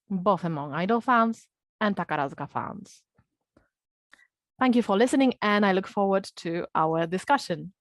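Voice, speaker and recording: A woman's voice: mid-range voice, dry recording. A woman reading out a scripted academic presentation as a panel speaker.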